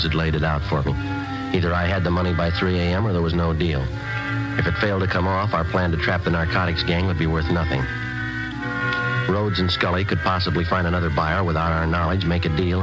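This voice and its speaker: Casting: male actor as deadpan police detective, deep clipped male voice, vintage radio crime drama with prominent music. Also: close to an everyday voice, rough recording. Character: matter-of-fact male police detective working undercover.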